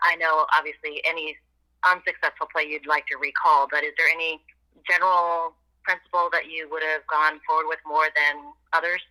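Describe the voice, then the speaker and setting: A man's voice: on the high side, pushed and bright. A man, a sports reporter, putting questions to a coach on a conference call over a thin telephone line.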